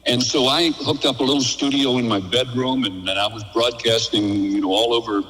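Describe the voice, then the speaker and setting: A man's voice: medium pitch, fairly even intonation, fairly quick, fairly bright tone. An older man chatting casually over the telephone, telling folksy, good-humored anecdotes as a guest. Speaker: interview guest, radio veteran. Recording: phone call, reverberant.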